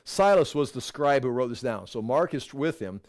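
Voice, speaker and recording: A man's voice: mid-range voice, expressive, normal speed, a little mellow. Middle-aged man, Bible teacher, mid-range voice, chatty lecture with wry asides and restarts. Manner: conversational, digressive, with wry asides and self-corrections. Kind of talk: casual Bible class lecture.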